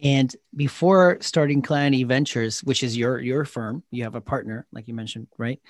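A man's voice: mid-range voice, fairly even intonation, moderate pace, dry recording, clean studio sound. A man hosting a podcast, reading a scripted intro and then interviewing a guest. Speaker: podcast host.

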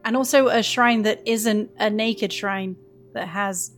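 A woman's voice: medium pitch, fairly even intonation, measured pace, soft background music. A woman in casual gaming podcast chat, acting out little quotes as she tells things.

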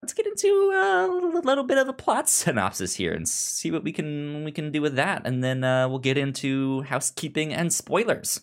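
A podcast host reviewing a book in casual chat, speaking haltingly and often stammering over repeated syllables. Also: mid-range voice, sing-song.

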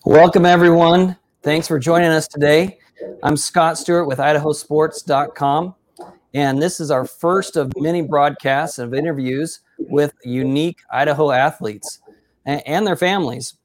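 A man's voice: a little high, steady tone, normal speed. A man hosting a casual sports interview, upbeat and effusive in his praise of his guest. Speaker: interview host.

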